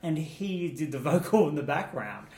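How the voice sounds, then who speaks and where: A chatty man with a slightly high voice, talking fondly and laughing often as he co-hosts a casual review show. He sits back from the mic in a room with a lot of echo. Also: big pitch swings, moderate pace, darkish tone, distant mic. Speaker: co-host. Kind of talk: casual chat reviewing song contest entries.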